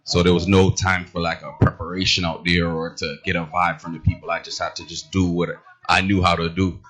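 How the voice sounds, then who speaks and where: Deep-voiced man, laid-back DJ. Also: flat delivery, a little fast, a bit bright. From Boston. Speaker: guest DJ. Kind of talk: casual interview answers.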